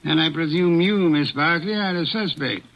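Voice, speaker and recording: An actor playing a pompous, imperious detective in an old radio drama, with clipped, gravelly speech. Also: male voice, fairly high pitch, normal speed, lo-fi sound. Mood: cold, probing. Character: pompous portly male detective, gruff and imperious. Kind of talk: 1940s radio acting.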